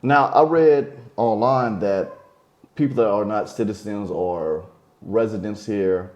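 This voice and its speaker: A man's voice: fairly low pitch, measured pace, muffled recording. Chatty man interviewer in a roomy space. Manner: relaxed, chatty, with many short acknowledgments. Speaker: vlogger interviewer. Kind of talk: casual interview questions. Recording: roomy echo.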